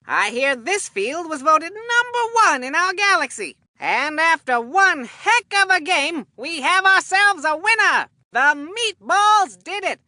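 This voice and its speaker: A cheerful, forgetful old grandpa calling baseball in a kids' cartoon game. The voice is high and piercingly bright, recorded very dry and close. He rambles in a folksy, excitable way. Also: no room sound, heavily acted. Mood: chatty, cheerful, then excited announcing. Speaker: sports commentator in a children's baseball game.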